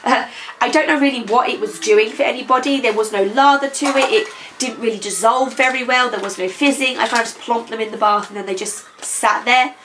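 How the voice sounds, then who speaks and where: Young woman, bit croaky, rambly beauty chat with lots of ums, fairly high voice, faint hum behind. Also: a little fast, a bit bright. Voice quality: slightly croaky. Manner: chatty, rambling, frequent ums. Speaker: beauty vlogger. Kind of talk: casual product review chat. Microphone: camera.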